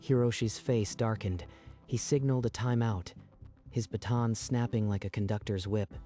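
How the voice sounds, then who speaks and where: A man with a low, soft-edged voice delivers dramatic scripted narration over faint background music. He sounds like the storyteller of an action tale. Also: fairly even intonation, moderate pace.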